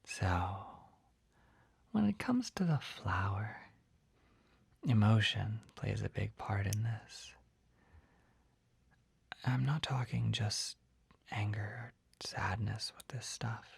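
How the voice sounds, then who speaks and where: A man as a breathy, whispering shy young shopkeeper. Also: fairly low pitch, lively intonation, slow, pauses often, dry recording, exaggerated voice. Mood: gentle, guiding. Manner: hesitant, gentle, soft-spoken. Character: shy, skittish, kindly young male potion shopkeeper. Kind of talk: whispered ASMR roleplay. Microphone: close ASMR microphone.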